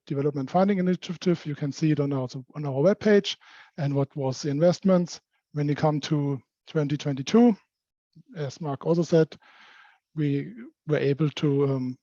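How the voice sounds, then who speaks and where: A man presenting at a business community call as a working group member, speaking haltingly with non-native phrasing. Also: a little high, dark tone. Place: indoor meeting room, on-site group meeting. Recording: dull, echoey meeting-room call audio.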